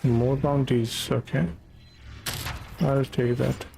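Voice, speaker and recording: A man's voice: mid-range voice, little pitch movement, takes it slowly, vocal fry, noisy background. A man giving casual live gameplay commentary, thinking aloud in short murmured phrases into a desk microphone. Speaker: live streamer playing a video game. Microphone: USB desk microphone.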